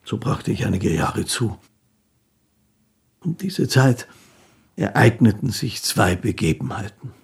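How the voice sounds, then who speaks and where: An actor playing a poor, gentle, devout old fiddler who recalls his life in a halting, reverent monologue for a radio play. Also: on the low side, expressive, gravelly, full character voice. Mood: wistful.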